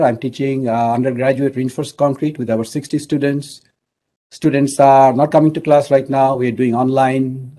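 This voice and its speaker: A middle-aged man from Bangladesh, an engineering professor, giving an online lecture in a measured way with many fillers. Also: medium pitch, mellow.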